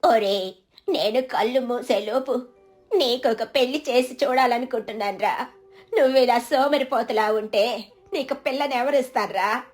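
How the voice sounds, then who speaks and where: A woman voicing a worried mother of grown sons, plaintive and exasperated, in an animated moral story. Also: a little high, measured pace, faint music behind, lightly acted.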